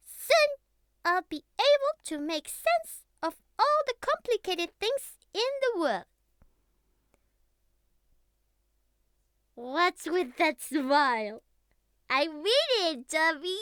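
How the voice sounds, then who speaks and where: A naive young catgirl speaks in a tiny, extremely high voice. She talks slowly and hesitantly, with childlike, pleading earnestness. It is a clingy, intimate ASMR roleplay, recorded very dry and close. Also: a bit bright, no room sound, full character voice. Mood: proud, determined. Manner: childlike, hesitant, pleading, slightly formal phrasing.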